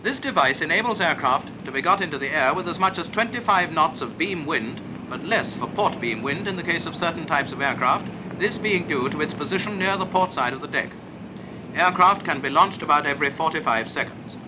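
A British man narrates a wartime-era training film in clipped, orderly sentences. His voice sits a little high for a man. The old 1940s soundtrack is clearly noisy. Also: expressive, a little fast.

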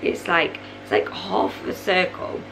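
A young woman in her twenties, a mother of three, chatting casually to camera in her living room with a hoarse, failing voice and lots of fillers. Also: on the low side, unhurried, over music, poor audio. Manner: chatty, rambling, many fillers. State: hoarse, voice going. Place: living room at night, small indoor room.

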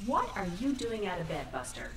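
A woman's voice: fairly low pitch, big pitch swings, fairly quick, slight background noise. An actress voicing a fussy, smothering motherly ship's computer, heard as distant, echoey game audio. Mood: doting. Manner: doting, fussy.

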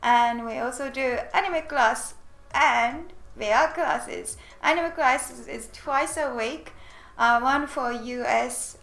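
A woman's voice: a little high, creaky, a bit bright, reverberant. A bubbly woman from Japan with a Japanese accent, a native Japanese speaker teaching a language lesson on a livestream and chatting with viewers. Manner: bubbly, giggly, switches between languages. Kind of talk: live-streamed lesson with chat greetings.

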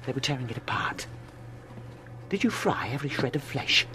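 An actor playing a feverish research scientist half-crazed with dread, halting and intense, in a radio drama. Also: male voice, mid-range voice, animated, noisy background, close to an everyday voice. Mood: urgent, paranoid.

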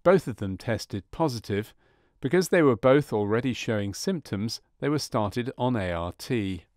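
A man reading scripted narration for a medical training film in a measured, formal manner. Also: medium pitch, lively intonation, darkish tone, no room sound, studio quality.

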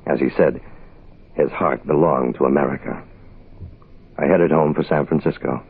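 An actor playing a terse private investigator, clipped and deadpan, in the style of 1960s radio noir. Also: male voice, a bass voice, normal speed, creaky, husky, full character voice. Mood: reflective. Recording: hissy, phone-like vintage radio recording.

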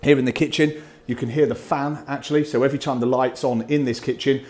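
A man's voice: medium pitch, fairly even intonation, fast talker, darkish tone. A man in his forties from the UK, chatting through practical tips as he walks around an empty rental house, speaking into a wired earphone mic. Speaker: landlord vlogger. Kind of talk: casual walkthrough vlog. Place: empty house and parked car.

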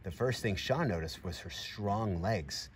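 A middle-aged man reads a picture book aloud to children in an expressive, encouraging way, with friendly asides to his listeners. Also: lowish voice, a little slow, slight background noise. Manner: expressive, encouraging, addresses children and animal directly. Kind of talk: animated storybook reading with friendly asides to young listeners. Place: outdoors in a grassy farmyard by a barn. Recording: clear outdoor background ambience.

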